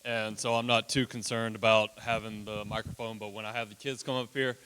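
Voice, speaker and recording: A man with a big booming voice, chatting folksily while presenting a children's ministry to a church. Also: little pitch movement, brisk, sharp tone, slight background noise. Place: church hall. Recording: long hall reverb.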